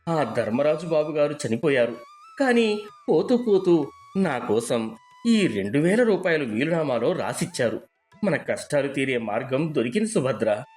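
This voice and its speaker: A man's voice: on the high side, very animated, moderate pace, a little mellow, soft background music. A man playing an honest, humble poor gardener in a moral story, earnest and deferential. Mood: excited, relieved. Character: humble, honest poor male gardener, tearful, devoted husband. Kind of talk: dramatised moral storybook dialogue.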